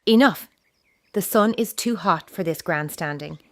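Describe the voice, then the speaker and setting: A woman's voice: lowish voice, measured pace, no echo. An actress playing a firm, practical Roman mother in an audio drama, with a commanding, pointed way of talking. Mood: impatient, commanding. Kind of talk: historical audio drama acting.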